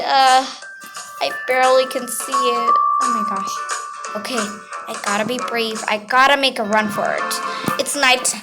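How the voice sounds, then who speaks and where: A young female gamer improvising an anxious, dramatic roleplay over gameplay, with loud music behind the voice. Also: high voice. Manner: dramatic, anxious play-acting. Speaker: gaming video creator.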